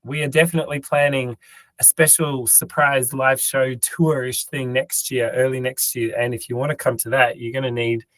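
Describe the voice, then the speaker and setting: A hoarse man co-hosts a casual podcast chat, his voice raspy and tired from overuse. He rambles and jokes, stumbling through restarts and fillers. The sound is close and dry, with no room around it. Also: medium pitch, little pitch movement, normal speed, fairly bright tone, no echo, poor audio. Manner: rambling, joking, lots of ums and restarts. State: hoarse, lost voice. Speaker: podcast co-host.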